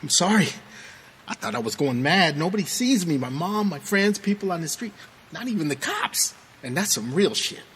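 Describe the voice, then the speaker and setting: A newly dead young graffiti artist in an audio drama. His American voice is bright and lively in pitch, jittery and full of halting street slang. There is noticeable noise and ambience behind him. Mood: shaken, confused. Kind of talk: naturalistic audio drama dialogue.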